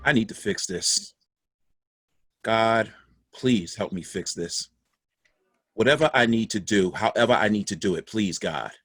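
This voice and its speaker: A man playing a troubled father who pleads with wry humor and a husky voice, in a dramatic play reading. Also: fairly low pitch, a bit bright, faint music behind, heavily acted. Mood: pleading, wry. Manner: slow, beseeching, wryly comic. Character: troubled late father, pleading comically with God.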